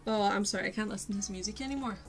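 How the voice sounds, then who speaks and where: Young woman, posh Glasgow accent, rambling self-mocking streamer chat, mid pitch. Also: very fast. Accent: posh Glaswegian Scottish. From Scotland. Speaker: art streamer. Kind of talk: casual live-stream chat while painting. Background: faint lo-fi music.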